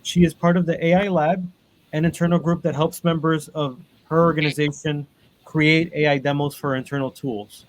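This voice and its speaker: A man with a fairly high voice moderates an online panel. He reads the guests' bios over a video call and often pauses on "um" and "uh". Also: flat delivery, poor audio. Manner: reads bios with frequent ums and uhs. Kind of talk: webinar introductions.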